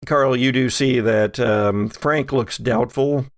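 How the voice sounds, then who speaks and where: Male game master for horror tabletop play; unhurried, halting storytelling in a dry-sounding space. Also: medium pitch, no echo.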